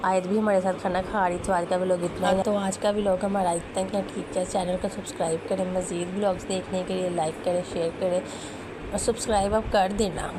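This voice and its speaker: A young woman with a sore, hoarse throat, chatting fondly as a family vlogger about her little daughter, often clearing her throat. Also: lowish voice, steady tone, fairly quick. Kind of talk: casual voice-over narration. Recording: voice with clear background noise.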